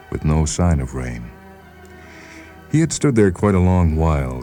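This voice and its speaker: Man, American accent, very deep bass, deliberate literary narrator, faint music. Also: warm tone. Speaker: narrator and reader of short stories. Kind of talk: scripted literary story narration.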